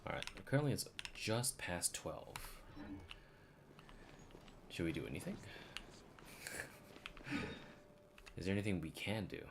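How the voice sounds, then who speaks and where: A man's voice: low-pitched, big pitch swings, measured pace, long pauses, noisy background. A man streaming a game with casual, informal commentary, thinking aloud in hesitant bits. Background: game music.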